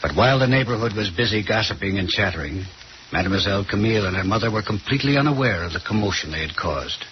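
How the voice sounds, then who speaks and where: An actor playing a formal, macabre storyteller, narrating an old radio horror drama deliberately. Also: male voice, fairly low pitch, expressive, lightly acted. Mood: suspenseful. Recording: muffled old radio, faint noise.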